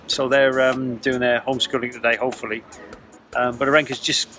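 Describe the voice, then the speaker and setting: A man's voice: mid-range voice, level tone, a little fast. A man in his fifties vlogging to his phone on an outdoor hospital terrace, matter-of-fact and understated. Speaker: sailing vlogger. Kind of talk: casual vlog to camera. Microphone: phone camera at arm's length. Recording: loud music bed behind the voice.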